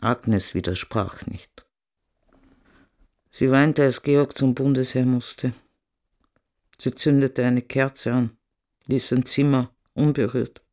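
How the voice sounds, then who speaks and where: A man in a radio play voicing a melancholy family member who recounts the past in a plain, subdued, deliberate way. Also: medium pitch, a little mellow, natural voice. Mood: wistful. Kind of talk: scripted radio play narration.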